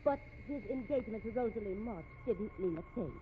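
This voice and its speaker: A tinny, faintly noisy vintage radio recording of a woman acting a proud society wife. Her pitch swoops dramatically as she speaks. She is grand, cutting and defiant, every bit the melodramatic lady. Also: lively intonation, brisk, natural voice. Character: haughty, defiant, sharp-tongued society wife. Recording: tinny, band-limited old radio recording.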